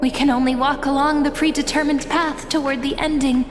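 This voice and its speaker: An actress playing an earnest young heroine in a video game cutscene, speaking in a questioning way. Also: female voice, sits high, level tone, brisk, lightly acted. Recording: reverb with music behind.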